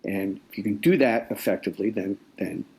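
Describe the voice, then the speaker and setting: A man speaking as an author guest in a conversational interview, explaining deliberately and repeating phrases for weight. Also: on the low side, creaky, warm tone, low-quality recording. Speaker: nonfiction author, podcast guest.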